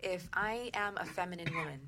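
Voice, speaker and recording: A woman's voice: on the low side, brisk, noisy background. A woman hosting a radio show, talking conversationally as she interviews a guest about relationships.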